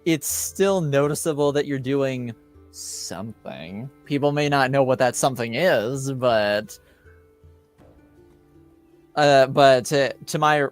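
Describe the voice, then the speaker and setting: A man's voice: mid-range voice, slow. Man, game master, mid-range voice, careful qualifying delivery, casual rules talk, background music. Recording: music under the voice.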